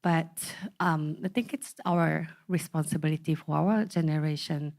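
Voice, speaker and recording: A woman in her forties from Okinawa with a Japanese accent, giving a talk to a community gathering, halting and full of fillers. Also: low voice, gravelly, darkish tone. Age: 40s. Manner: halting, many fillers and restarts. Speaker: speaker.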